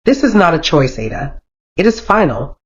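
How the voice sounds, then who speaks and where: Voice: mid-range voice, big pitch swings, moderate pace, lightly acted. A storyteller voicing a stern, unyielding, proud chief and father who talks curtly in commands. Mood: snapping anger. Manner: curt, commanding. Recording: dull, lo-fi sound.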